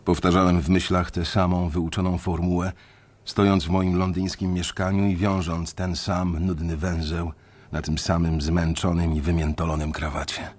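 A man narrating in the first person in a radio drama, measured and reflective. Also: deep voice, little pitch movement, darkish tone.

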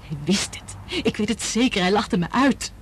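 A woman's voice: mid-range voice, expressive, normal speed, a little hiss or hum, natural voice. An actress in a radio drama playing an anxious, determined woman scientist who speaks urgently and earnestly. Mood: anxious, distressed.

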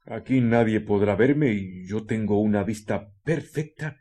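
A man voicing a sinister, scheming husband who mutters deliberately to himself in a radio play. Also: lowish voice, mellow. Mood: gloating. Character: scheming, sinister husband plotting an ambush on Mars. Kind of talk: radio play villain monologue.

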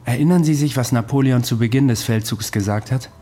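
A man's voice: mid-range voice, a little fast, warm tone, slight background noise, natural voice. An actor in a radio play playing an earnest, haunted man with a dryly ironic, insistent manner. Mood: wry, grim. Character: intense, wry, haunted man claiming to be Napoleon's veteran.

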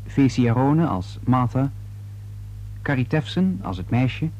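A man reading a scripted radio drama announcement in a formal, measured manner. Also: mid-range voice, sing-song, darkish tone.

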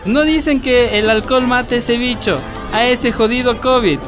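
A man's voice: very high-pitched, normal speed, over music. An actor playing a tipsy man drinking on a market corner, talking in a boozy, jokey way.

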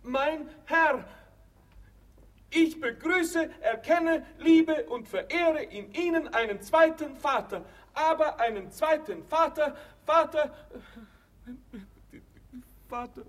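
Very high-voiced, pompous young simpleton. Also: male voice, fairly bright tone, full character voice. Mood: stilted, nervous. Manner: stilted recitation, halting, stumbling over memorised lines. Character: dim, pompous young doctor's son reciting learned speeches. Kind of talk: comic radio play acting.

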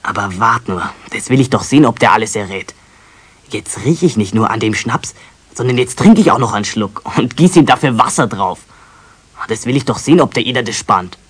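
Husky, sulky young apprentice. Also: male voice, medium pitch, expressive, brisk, a bit bright. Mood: defiant, scheming. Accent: Bavarian. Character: sulky, defiant teenage apprentice boy. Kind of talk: 1970s German radio drama acting.

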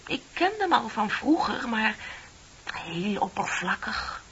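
An actress playing a heartbroken, ashamed young woman confessing in a radio play, dramatic and drifting into breathy near-whispers. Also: fairly high pitch, very animated, slow pace, a bit bright, heavily acted. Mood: agitated confession. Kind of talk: Dutch radio play acting. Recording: muffled radio play recording with tape hiss.

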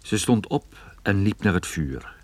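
A man's voice: fairly low pitch, very animated, unhurried. Een man die als privédetective in een hoorspel zijn zaak navertelt, strak en gespannen. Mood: tense narration. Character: male private detective recounting his case, tense and terse. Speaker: first-person narrator. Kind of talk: scripted radio drama narration.

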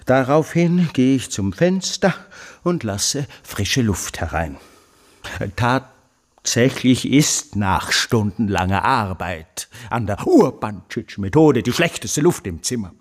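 An actor playing an obsessive, tyrannical man fanatically studying hearing in a radio monologue, with intense, emphatic delivery. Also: on the high side. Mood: controlled, stern. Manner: intense, emphatic, drawn-out delivery of key words. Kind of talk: dramatic literary radio monologue.

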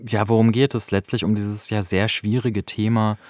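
A man's voice: lowish voice, little pitch movement, normal speed, a little mellow, no echo, clean studio sound. A man co-hosting a podcast, reviewing thoughtfully and searching for precise wording in conversation. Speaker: podcast co-host.

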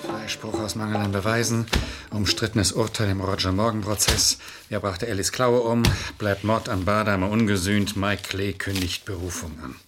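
Ein Schauspieler spielt in einem Krimihörspiel der 70er einen strengen, grüblerischen Anwalt, der präzise und streitbar argumentiert. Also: male voice, lowish voice, fairly even intonation, moderate pace, over loud music, natural voice. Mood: declaiming headlines. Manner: earnest, precise, argumentative. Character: stern, insistent male lawyer, brooding over a case. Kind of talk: 1970s German radio crime drama acting.

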